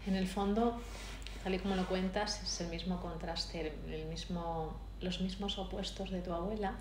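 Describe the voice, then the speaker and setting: A woman interviewer in a literary conversation, speaking in a measured, attentive way with short poetic phrases. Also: fairly low pitch, steady tone, reverberant, background noise.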